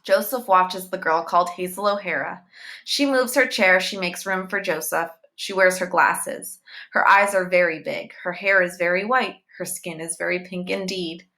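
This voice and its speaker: A woman reading a children's novel aloud in deliberate, simple phrases, as if telling it to young listeners. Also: fairly low pitch, fairly even intonation, sharp tone. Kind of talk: read-aloud storytelling with short asides to listeners.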